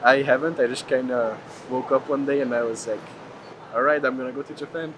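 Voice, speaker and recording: A man's voice: mid-range voice, fairly even intonation, fairly quick. A man from Hungary being interviewed as a traveler, chatting casually and loosely with lots of filler words. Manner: frequent "like" filler, rambling. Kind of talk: casual chat. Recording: clear ambient street noise.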